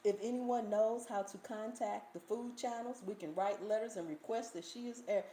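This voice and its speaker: A woman's voice: a little high, normal speed. A woman with a Southern US accent hosting a cooking channel's live Q&A, telling stories and reading viewer comments with a lot of laughter. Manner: animated storytelling, reads viewer comments, laughs often. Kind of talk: casual livestream Q&A chat. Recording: roomy echo, faint background hiss.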